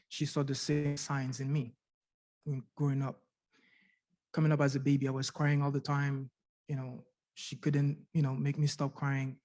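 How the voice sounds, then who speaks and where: A man's voice: mid-range voice, dark tone. A middle-aged man from Canada giving an earnest personal testimony at a conference, repeating words and asking rhetorical questions. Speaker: conference speaker sharing his patient story. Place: university conference room.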